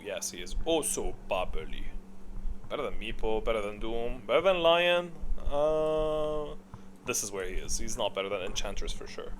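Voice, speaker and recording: A man with an average-pitched voice gives off-the-cuff commentary on a ranked list. He is chatty and thinks out loud, often repeating a short phrase as he makes up his mind. A little noise sits behind his voice. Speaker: video creator ranking game heroes.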